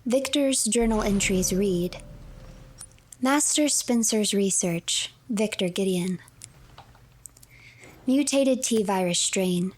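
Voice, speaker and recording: A woman with a mid-pitched voice narrates a game as a story. She is measured and deliberate, reading out notes and files like a storyteller.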